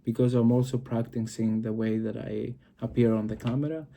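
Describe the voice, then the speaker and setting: A man's voice: medium pitch, level tone, fairly quick, gravelly, dark tone, a little hiss or hum. A man from Greece with a Greek accent, talking to camera in a reflective solo video and often searching for words. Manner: searching for words, frequent fillers and restarts. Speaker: video host.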